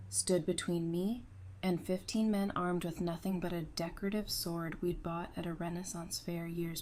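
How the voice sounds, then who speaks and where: Mellow, composed female survivor played by an actress. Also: on the low side, slight background noise, lightly acted. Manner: measured, earnest. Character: composed, resolute widowed woman, apocalypse survivor. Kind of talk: audio drama acting.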